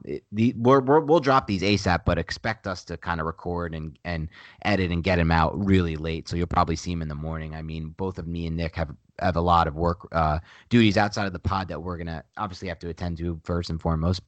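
A man with a nasal voice pitched very low co-hosts a casual sports podcast. He presses his points hard and repeats them for emphasis. His voice is recorded close and dry on a podcast mic. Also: no room sound, lo-fi sound. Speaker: podcast co-host.

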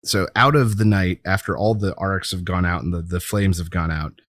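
A man's voice: sits low, fairly even intonation, moderate pace, fairly bright tone, no room sound, clean studio sound. A man co-hosting a podcast, chatting casually and enthusiastically about a TV show. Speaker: podcast co-host.

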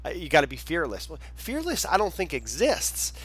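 A man's voice: on the high side, wildly swooping pitch, normal speed, a bit bright. A man hosting a podcast, enthusiastic and emphatic as he reacts to his guest and asks questions. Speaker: podcast host. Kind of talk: casual interview talk.

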